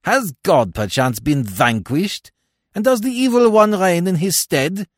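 A man voicing an embittered dead man railing from his grave in harsh, forceful, archaic speech for a storybook. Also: high-pitched, very animated, measured pace, dry recording, clean studio sound, voice pushed up. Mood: furious, defiant. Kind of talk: dramatic storybook reading.

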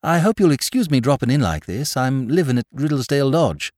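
Guy doing a posh english gentleman, chatty n super polite, rambles along breezily, pitch bouncing about. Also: very animated, a little fast, no room sound, clean studio sound, lightly acted. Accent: upper-class English. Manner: breezy, rambling, courteous, affable.

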